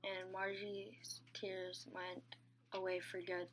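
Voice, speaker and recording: A woman narrating a children's story in a halting read-aloud manner, stumbling here and there. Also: medium pitch, monotone, a little hiss or hum.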